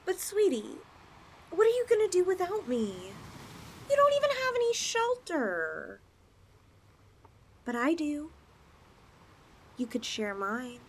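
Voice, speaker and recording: A woman's voice: extremely high pitch, huge pitch swings, slow pace, long pauses, a little hiss or hum, heavily acted. A woman voicing a possessive, flirtatious lamia in a roleplay ASMR style, coaxing her chosen mate with sweet condescension. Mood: condescending, insistent.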